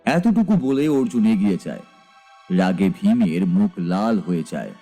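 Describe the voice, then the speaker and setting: A man's voice: fairly low pitch, lively intonation, a little slow, dark tone, soft background music. A man narrating a scripted dramatic story as its narrator.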